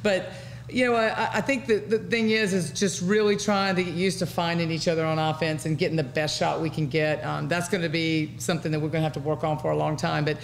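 A middle-aged American woman, a basketball coach answering at a press conference in a measured, halting way. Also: deep voice, little pitch movement, vocal fry. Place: indoor press conference room. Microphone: table microphone. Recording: faint room noise.

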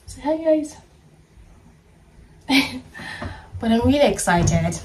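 A woman's voice: high-pitched, very animated, slow, recorded from a distance, noisy background. A woman, chatting playfully and laughing often as she gets ready at home in her bedroom. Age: 30s. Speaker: vlogger. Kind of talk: casual get-ready-with-me vlog.

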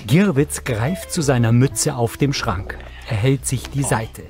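A man narrates audio description for a TV drama in short scripted sentences. His voice is medium in pitch, with music clearly running underneath. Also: lively intonation, measured pace. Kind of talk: scripted narration, present-tense scene description.

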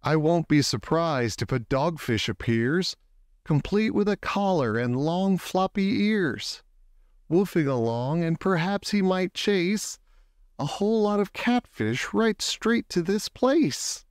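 Male storybook reader, unhurried and clear-toned, stretching words for emphasis in an expressive children's read-aloud. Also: fairly high pitch, no room sound, studio quality. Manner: deliberate phrasing, drawn-out words for emphasis.

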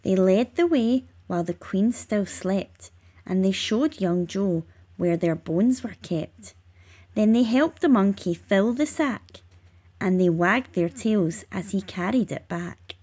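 A woman reading rhyming children's narration aloud, expressively and with measured emphasis. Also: medium pitch, lively intonation, mellow, slightly rough. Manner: measured, emphatic on sound words. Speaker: storybook reader.

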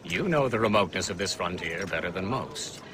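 An actor playing an excitable elderly gentleman inventor in a game cutscene, polite and eager. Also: low voice, fairly quick, noisy background. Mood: genial. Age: older. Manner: genial, eager, a little fussy. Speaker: scientist. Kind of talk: video game cutscene acting.

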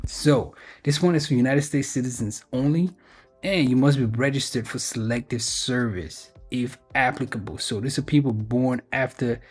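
A man's voice: mid-range voice, a little mellow, husky. A man hosting an informal explainer video, reading through a web page in a conversational way and stressing key points. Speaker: video host sharing job openings.

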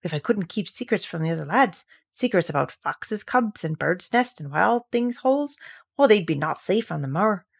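A woman voicing a cheerful country boy with a Yorkshire accent, talking quickly and easily with good humour. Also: fairly low pitch, animated, creaky, slightly rough, heavily acted. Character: cheerful country boy, easygoing, friendly with animals.

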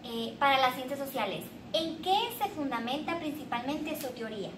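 A woman's voice: high-pitched, animated, normal speed. A young woman hosting a scripted mock interview, asking formal questions. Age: young adult. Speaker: interviewer, show host. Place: small bare indoor room with tiled floor. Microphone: far camera. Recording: echoey room, distant mic, background hiss.

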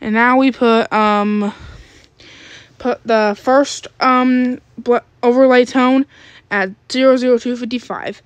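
A woman with a fairly high voice narrates a step-by-step screen tutorial. She goes slowly and haltingly, and the sound is dry and close. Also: fairly even intonation, smooth, no room sound, highs cut off. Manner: halting, searching for words. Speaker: tutorial creator.

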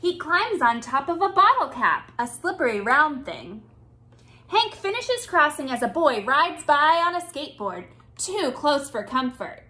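A woman in her thirties reads a picture book to small children. Her voice sits high and swings widely in pitch. She takes it slowly, phrasing each line deliberately and expressively for a young audience. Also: sing-song, sharp tone, a little hiss or hum. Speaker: children's storytime reader. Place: small indoor room with bookshelves.

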